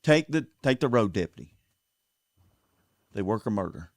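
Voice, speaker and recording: A man's voice: lowish voice, lively intonation, moderate pace, no room sound, studio quality. A man with a Southern US accent, running for sheriff, speaking earnestly in a casual interview and repeating words as he builds his points. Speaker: sheriff candidate. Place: local TV studio.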